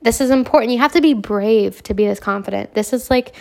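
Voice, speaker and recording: A young woman hosting a casual self-help podcast, hoarse from a cold, talking in a brash, self-assured way and swearing freely. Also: a little high, a little fast, a little mellow. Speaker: podcast host.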